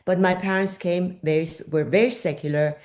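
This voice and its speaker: Low-voiced older woman from Iran. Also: steady tone, fairly quick, darkish tone. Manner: thoughtful, searching for words, many fillers. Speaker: journalist guest. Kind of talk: interview answers.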